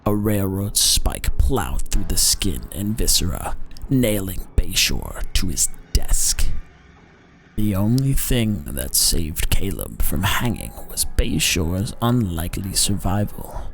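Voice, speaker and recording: A man narrates dark lore in a theatrical, deliberate style. His gravelly voice swings widely in pitch as he stretches words for emphasis. The delivery is unhurried and dramatic throughout. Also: sing-song. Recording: music behind the voice. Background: background music.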